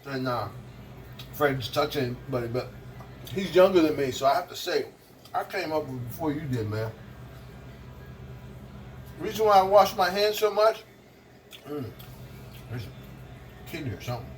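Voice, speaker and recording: A man's voice: fairly high pitch, lively intonation, normal speed, pauses often, recorded from a distance, slight background noise, poor audio. A man in his sixties, a vlogger and motivational speaker, chatting casually to the viewer in a rambling way while he cooks in his kitchen. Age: 60s. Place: home kitchen.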